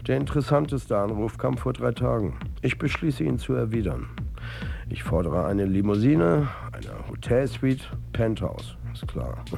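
Wry, defiant football coach. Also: male voice, medium pitch, sing-song, unhurried, gravelly, dark tone, soft background music, heavily acted. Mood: wry, reflective. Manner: dry, sardonic, conversational. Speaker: actor in a radio drama. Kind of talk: German radio drama acting.

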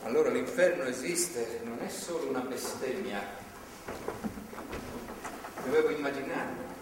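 A man's voice: mid-range voice, natural voice. Man acting a preachy zealot captor, distant and echoing. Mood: solemn preaching. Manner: moralizing, authoritarian, deliberate. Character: stern, preachy male religious zealot holding a woman captive. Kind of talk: live stage drama acting. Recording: distant, noisy, echoey theatre hall recording.

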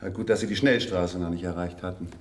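Man acting as police sergeant, low voice, polite, chatty, folksy, roomy off-mic radio drama sound. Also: fairly even intonation, quick pace, distant mic, lightly acted. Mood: polite, unhurried. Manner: polite, matter-of-fact, folksy. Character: unsuspecting, chatty male village-call police sergeant. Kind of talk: German radio crime play acting.

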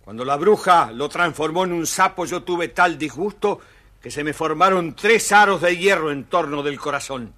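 Royal coachman for a storybook record: cheerful, deferential, emphatic, high and forceful. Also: moderate pace. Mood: devoted, happy. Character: devoted, excitable royal coachman, cheerful and loyal. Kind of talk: children's audio storybook acting.

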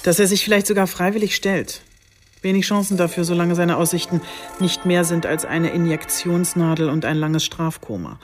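An actress playing a composed, dry police chief inspector in a radio play, measured and businesslike. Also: female voice, fairly low pitch, soft background music, natural voice.

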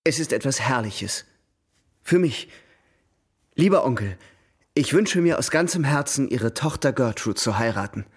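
A man's voice: medium pitch, animated, a little slow, long pauses, breathy edge. An actor in a radio drama playing an eager, lovestruck male suitor, speaking courteously and effusively. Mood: excited, hopeful.

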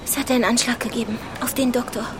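A woman's voice: on the high side, steady tone, quick pace, background noise, close to an everyday voice. An actress playing a flustered, frightened woman in a radio drama, stammering and repeating her words breathlessly. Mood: agitated shock. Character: flustered, frightened woman bringing bad news. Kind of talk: German radio drama acting.

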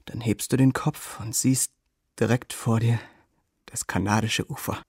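An actor playing the watchful inner voice of a young soldier, narrating a radio drama in terse, observing phrases. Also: male voice, mid-range voice, steady tone, measured pace, a little mellow, husky, no echo. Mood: hushed, intense. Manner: terse, present-tense, observing. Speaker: second-person narrator. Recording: studio radio play with guitar underneath.

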